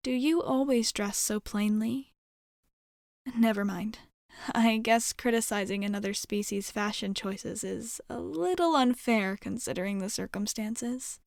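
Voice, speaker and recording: Slow, soft-spoken young alien woman, acted for ASMR. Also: on the high side, vocal fry, no room sound, clean studio sound. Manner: soft, reassuring, hesitant, caring. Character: gentle, curious young female alien rescuer soothing a frightened human.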